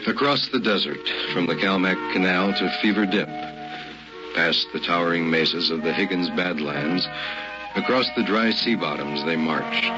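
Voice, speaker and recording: Radio drama narrator: deep, somber, measured delivery over prominent music, old broadcast sound. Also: male voice, dull audio. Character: grave male narrator recalling a desert march from boyhood.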